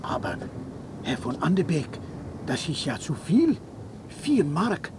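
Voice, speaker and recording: A man's voice: on the high side, big pitch swings, slow, background noise. An actor playing a deferential, helpful man in a radio drama. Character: deferential, helpful German man meeting a traveller.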